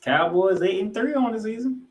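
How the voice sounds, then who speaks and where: A man's voice: sits high, animated, moderate pace, noisy background. Echoey man recapping sports emphatically. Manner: emphatic delivery, repeating points for effect. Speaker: sports podcast host. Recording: roomy, echoing room sound.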